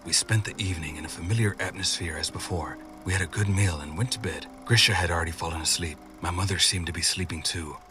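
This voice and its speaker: A man's voice: low voice, fairly even intonation, fast, hardly any pauses, recorded from a distance, over music. A man narrating a scripted first-person scary story as a horror storyteller.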